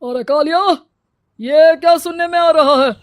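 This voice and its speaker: Someone doing a bossy village headman, high pitched and dry, bosses everyone around. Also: unhurried, dry recording. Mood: scolding. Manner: bossy, scolding. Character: scheming middle-aged male village headman.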